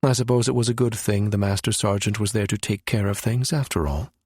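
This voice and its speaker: A man voicing a cold, scheming male villain in an audiobook, smug and calculating, with a mocking, measured menace. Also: fairly low pitch, a little mellow, husky, no echo, clean studio sound. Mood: coolly menacing.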